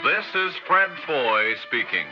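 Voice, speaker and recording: A man's voice: mid-range voice, big pitch swings, slow, dull audio. A man announcing credits in a formal 1960s radio style, on a band-limited vintage broadcast. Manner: formal, crisp announcer delivery. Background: loud orchestral music.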